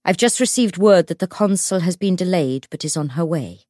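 A woman voicing a commanding, exasperated gentleman in an audiobook, projecting with dry authority. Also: lowish voice, lively intonation, fairly quick, dry recording, lightly acted.

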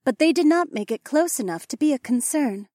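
A calm alien slime woman speaks in a high, formal, measured way, voiced by a man reading the story. She never uses contractions and sounds careful. The recording is dry. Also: no echo.

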